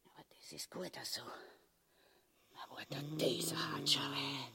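A man's voice: medium pitch, fairly even intonation, moderate pace, vocal fry, pushed and bright, slightly rough, heavily acted. A man playing a crude, greedy, scheming wife in a grotesque stage comedy, ranting theatrically and self-pityingly in Austrian dialect. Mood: weary self-pity. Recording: echoey hall with long reverb.

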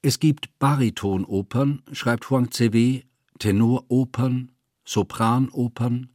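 A man's voice: mid-range voice, steady tone, slow pace, a little mellow, no room sound, clean studio sound. A man narrating a scripted literary text in a formal, matter-of-fact way.

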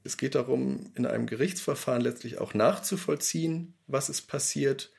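A man speaking thoughtfully in an interview, pausing to stress key words. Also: medium pitch, vocal fry, darkish tone, husky. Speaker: radio drama maker. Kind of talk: reflective interview talk.

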